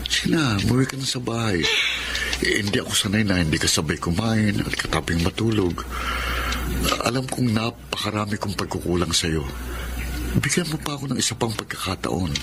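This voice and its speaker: Caring Filipino husband, lively intonation, noisy recording. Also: male voice, fairly low pitch, moderate pace. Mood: pleading, apologetic. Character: apologetic, caring husband who runs a market stall. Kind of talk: Filipino radio drama acting.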